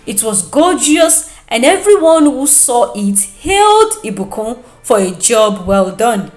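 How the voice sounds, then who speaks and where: A woman with a Nigerian accent narrating a folktale in an expressive, deliberate, dramatic way. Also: fairly high pitch, reverberant, faint music behind. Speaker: storyteller, narrator.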